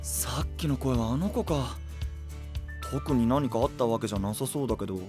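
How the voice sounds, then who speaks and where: A man's voice: mid-range voice, normal speed, music in the background, lightly acted. A man voicing a cheerful, adventurous young male knight with an enthusiastic, easygoing boyish manner in an anime-style voice drama. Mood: concerned, impressed.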